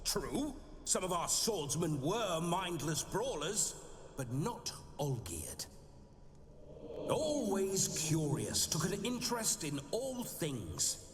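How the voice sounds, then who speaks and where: A man's voice: sits high, big pitch swings, slow pace, heavily acted. An actor playing the jovial, boastful ghost of a hard-drinking nobleman brawler in a video game. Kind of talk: video game cutscene acting. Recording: game audio with reverb and music.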